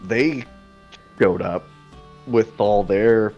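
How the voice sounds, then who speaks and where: A man's voice: lowish voice, very slow, a little mellow, dull audio, soft background music. A man running a tabletop game as game master, hesitant and full of restarts.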